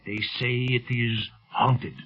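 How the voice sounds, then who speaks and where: An actor playing a grave, cautious Tibetan chief with formal wording and a husky voice in a 1930s radio drama. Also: male voice, mid-range voice, flat delivery, slow, faint music behind. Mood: ominous. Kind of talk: 1930s radio serial acting. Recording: muffled, lo-fi 1930s radio recording.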